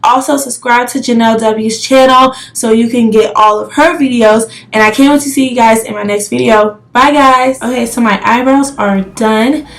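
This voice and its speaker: Higher-pitched woman with a slightly crackly voice. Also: fairly even intonation, normal speed, few pauses. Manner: chatty, addresses viewers directly. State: losing voice, under the weather. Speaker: beauty vlogger. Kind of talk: casual makeup tutorial.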